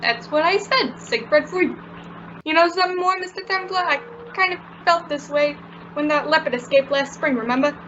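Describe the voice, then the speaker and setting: Muffled radio comedy-drama casting: woman as a chatty, simple cab driver, high voice, folksy and earnest. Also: moderate pace, fairly bright tone. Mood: earnest, wistful. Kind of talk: old-time radio drama acting. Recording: muffled audio with faint background noise.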